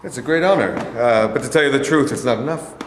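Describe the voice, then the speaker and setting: A man's voice: medium pitch, fast, natural voice. A man in a staged play reading, playing a cocky, ambitious young boxer. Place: mid-sized rehearsal studio, far camera. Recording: echoey hall, faint noise.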